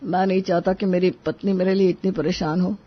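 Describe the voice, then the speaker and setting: A man playing a mild, apologetic sick husband in a Hindi animated moral story, with a husky, weak delivery. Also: high voice, brisk. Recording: dull, lo-fi audio.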